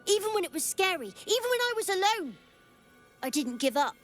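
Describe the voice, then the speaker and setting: Casting: otherworldly child for a fantasy game, high-pitched, lonely, solemn, stubborn. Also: female voice, big pitch swings, a little fast, fairly bright tone, faint music behind, full character voice. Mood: defiant, hurt. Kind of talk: video game character dialogue.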